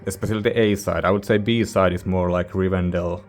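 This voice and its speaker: Male reviewer, non-native English speaker: low-pitched, very even intonation, informal and halting, rambling casually. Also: soft background music. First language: not English. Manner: hesitant, informal, searching for words. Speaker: music reviewer, channel host.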